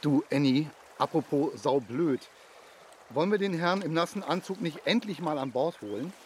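An actor playing a cautious, kindly sidekick, hesitant and wry, in a children's radio play. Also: male voice, a little high, animated, moderate pace, slight background noise, natural voice. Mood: wry concern. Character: cautious, kindly male sidekick on a makeshift boat.

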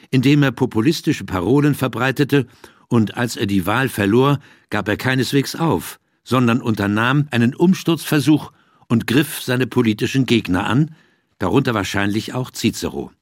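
A man's voice: medium pitch, normal speed, no echo, studio quality. A man reading a scripted, explanatory audiobook text. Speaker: audiobook reader.

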